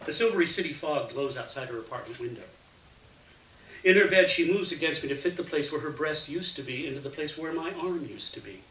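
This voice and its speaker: Bright-voiced man reading fiction, roomy and distant. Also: on the high side, brisk. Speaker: story reader. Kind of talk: live literary fiction reading, first-person narration. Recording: roomy, distant mic, faint hiss.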